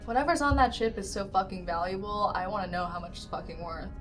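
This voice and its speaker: A woman's voice: mid-range voice, animated, quick pace, over music, pitched below the performer's own voice, natural voice. A woman playing a wary, stubborn spaceship officer in a tabletop game, blunt and defiant in the way of talking. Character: defiant, distrustful ship's officer, foul-mouthed haggler. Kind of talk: improvised tabletop roleplay acting.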